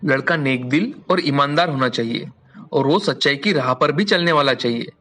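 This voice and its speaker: A man voicing a wealthy, earnest merchant father in a formal, deliberate way for an animated moral story. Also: medium pitch. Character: wealthy merchant father, earnest and concerned about his daughter.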